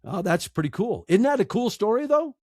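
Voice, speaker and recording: A man's voice: sits high, very animated, brisk, a little mellow, dry recording. Man telling anecdotes in a dry-sounding interview. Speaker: podcast guest, storyteller and narrator. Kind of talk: casual interview conversation, anecdotal.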